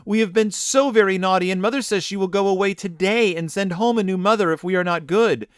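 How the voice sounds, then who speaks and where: A man voicing a pair of young children, begging in high, breathless voices while reading a storybook aloud. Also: wildly swooping pitch, slow, a little mellow, dry recording, clean studio sound, full character voice. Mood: eager pleading. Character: pleading young children, excited and desperate.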